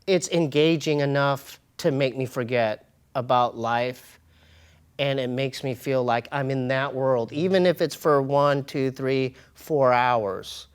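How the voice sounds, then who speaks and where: A man talks casually as a guest on an interview show. He is candid and animated, leaning on slang as he goes. His voice sits at an ordinary middle pitch for a man. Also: slow pace, clean tone. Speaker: podcast guest, comedian and hip-hop artist.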